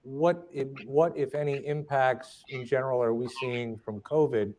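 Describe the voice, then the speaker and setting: A man at an ordinary middle pitch moderates a virtual question session. He is deferential and hesitant, with many fillers, and faint background noise can be heard under his voice. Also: fairly even intonation, moderate pace, darkish tone, muffled recording.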